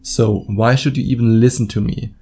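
Male solo podcaster, German accent, soft mellow timbre, casual and blunt coaching monologue. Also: mid-range voice, fairly even intonation, moderate pace, breathy edge. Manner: informal, blunt, swears casually, acts out imagined quotes. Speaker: podcast host and dating coach.

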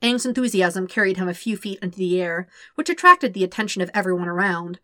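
A woman reading a story aloud as an audiobook narrator, with expressive scripted delivery. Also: fairly low pitch, quick pace, no echo, studio quality. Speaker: podfic narrator.

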